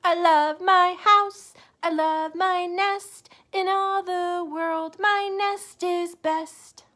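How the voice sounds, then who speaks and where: A woman voicing a cheerful, agreeable male bird in a children's storybook, with a sing-song, drawn-out delivery. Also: very high-pitched, fairly even intonation, pushed and bright, faint music behind, pitched above the performer's own voice, exaggerated voice. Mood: joyful singing. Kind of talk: children's storybook read-aloud.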